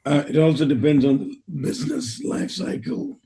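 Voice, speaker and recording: A man with a hoarse, failing voice speaking up in a webinar discussion, halting and apologetic. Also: on the high side, big pitch swings, warm tone. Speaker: webinar participant working at a startup.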